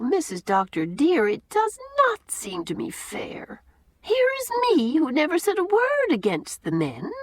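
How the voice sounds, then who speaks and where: A woman's voice: sits high, no echo, voice pushed up. A woman doing a fussy, chattering old housekeeper. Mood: aggrieved. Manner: talkative, quaint rural turns of phrase. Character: chatty older female housekeeper, rustic and fussy.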